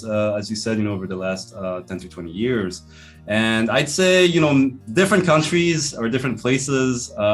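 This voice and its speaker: A lower-voiced man with a Swiss French accent talks over a webcam from a bookshelf-lined office. He answers in a thoughtful, casual way and lets each sentence fade off quietly. Faint guitar music plays underneath. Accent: French-speaking Swiss. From Switzerland. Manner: thoughtful, trails off softly at sentence ends. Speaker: guest, professor. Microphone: video call webcam.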